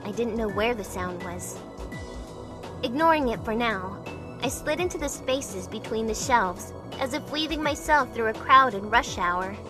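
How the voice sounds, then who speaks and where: Woman acting introspective young game heroine, higher voice. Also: expressive, a little slow. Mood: musing. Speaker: narrating character in a fan dub of a visual novel. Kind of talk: first-person scripted narration. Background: music.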